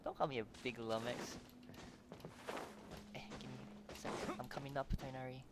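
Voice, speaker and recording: A woman's voice: very deep voice, steady tone, normal speed, heavy background noise. A woman game streamer giving casual live reactions, making short asides to the characters on screen. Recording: loud game music and audio underneath.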